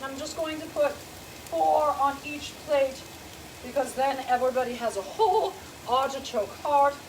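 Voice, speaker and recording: A woman's voice: a little high, a little hiss or hum, rough recording. A middle-aged woman with a light Americanized German accent, hosting a chatty cooking demonstration in a home kitchen and fussily narrating each step. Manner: prim, fussy, homey, narrates each step. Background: food frying in a pan.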